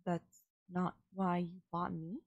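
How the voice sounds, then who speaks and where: A woman voicing a polite, puzzled, emotionless female servant robot in an audio roleplay, with formal, halting, literal phrasing. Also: on the low side, level tone, no echo, dull audio. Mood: flat, matter-of-fact. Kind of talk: scripted audio roleplay, ASMR.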